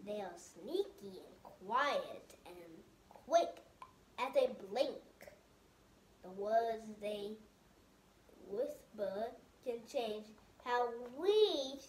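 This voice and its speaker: A woman's voice: on the high side, expressive, extremely slow, darkish tone. A woman in her thirties hosting storytime, reading a picture book aloud to children at home. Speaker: storytime host. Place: living room couch at home, indoors. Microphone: camera a few feet away. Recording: roomy home sound, faint background noise.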